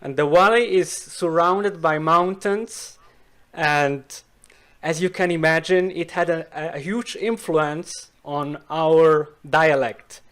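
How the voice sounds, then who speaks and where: A Swiss man whose first language is Swiss German, speaking careful, slow learner's English as an interview guest. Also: fairly high pitch, a bit bright, clear, resonant tone. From Switzerland. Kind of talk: casual interview chat.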